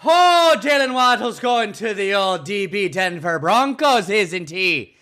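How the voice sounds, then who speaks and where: A man's voice: high voice, animated, slow, pushed and bright, pitched above the performer's own voice, heavily acted. Man putting on a lilting mock-mournful Irishman. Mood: mock sorrow. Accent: Irish, put on. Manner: lilting, theatrical. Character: mock-mournful, sing-song Irish pub lad.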